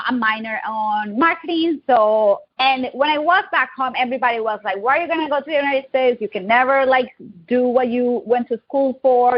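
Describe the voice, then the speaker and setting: A woman in her thirties from Colombia with a Colombian accent, telling her story as a podcast guest in a quick, chatty, upbeat way. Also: a little high, highs cut off. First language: Spanish. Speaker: guest, digital marketing agency owner. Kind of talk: casual interview storytelling.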